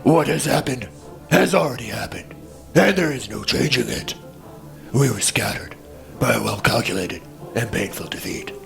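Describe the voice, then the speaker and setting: A man with a gravelly voice giving a dramatic reading over choral music. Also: medium pitch, expressive, normal speed. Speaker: reader. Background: dramatic orchestral choral music.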